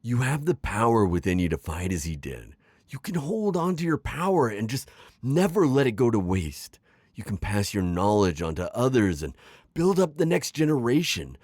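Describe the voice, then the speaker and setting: A man's voice: medium pitch, sing-song, darkish tone, no echo, studio quality. A man playing a suave, manipulative magical villain mentor in an intimate ASMR roleplay, coaxing and teasing as he talks. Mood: persuasive, teasing. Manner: coaxing, smooth-talking, chuckling, drawn-out teasing phrasing. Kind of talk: ASMR roleplay acting, intimate one-sided dialogue.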